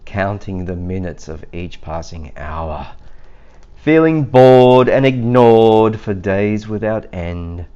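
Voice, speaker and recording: Very slow, animated man reading a storybook. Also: on the low side, clean tone, muffled recording. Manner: theatrical, playful. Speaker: storybook reader. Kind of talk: animated children's storybook read-aloud.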